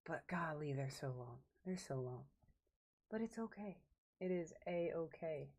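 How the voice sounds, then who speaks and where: A woman's voice: low voice, expressive, unhurried, a little mellow. A woman casually chatting as she livestreams a game, rambling to viewers and to herself. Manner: rambling, talks to chat and to herself. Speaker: game streamer. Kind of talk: casual livestream gameplay chat.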